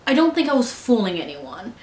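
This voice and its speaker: A woman's voice: a little high, very animated, fairly quick. Earnest young woman vlogging in an echoey room. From United States. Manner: earnest, frequent fillers and restarts. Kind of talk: personal talk to camera.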